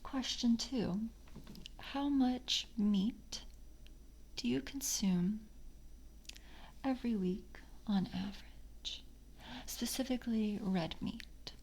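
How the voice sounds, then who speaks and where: A woman playing a polite vampire coven member in a soft-spoken ASMR roleplay, breathy and often whispering, with formal, halting courtesy. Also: a little high, a little hiss or hum. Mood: polite, measured.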